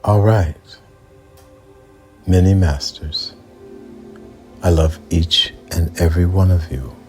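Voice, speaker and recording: A man narrating a bedtime story for children as a guide, in a measured, deliberate delivery with pauses mid-sentence. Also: low-pitched, darkish tone. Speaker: bedtime storyteller and mindset coach. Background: background music.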